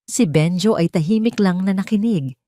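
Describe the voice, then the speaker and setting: A woman's voice: mid-range voice, normal speed, warm tone, dry recording. A woman with a Filipino accent reading a scripted, dramatic love story as narrator.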